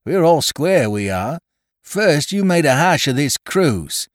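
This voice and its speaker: A man puts on a mutinous pirate crewman for an adventure storybook. He is blunt, belligerent and accusing, his rustic sailor speech pushed up and loud with lively swings. The recording is dry. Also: a little high, slow pace, no echo, pitched above the performer's own voice. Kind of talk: dramatised audiobook reading of a classic adventure story.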